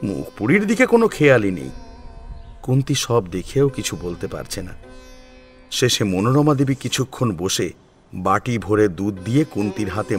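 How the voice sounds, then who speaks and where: A man narrating a story as a dramatic audio storyteller, in an expressive, suspenseful manner. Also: lowish voice.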